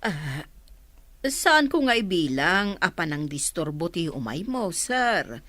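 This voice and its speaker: A woman's voice: fairly low pitch, big pitch swings, slow pace, a bit bright, dry recording, full character voice. A woman playing a kindly, welcoming mother from the countryside in an audio drama. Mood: respectful, grateful. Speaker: voice actor. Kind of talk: radio-style drama acting.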